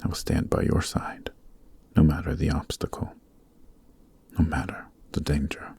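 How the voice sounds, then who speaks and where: A man playing a weary, noble Demon King in a fantasy ASMR roleplay, speaking softly and solemnly with a breathy voice. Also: heavily acted. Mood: earnest, resolved. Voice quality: very deep, soft, breathy, half-whispered. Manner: slow, solemn, earnest, formal phrasing. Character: weary, noble adult male Demon King, sorrowful and gentle. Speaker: voice actor.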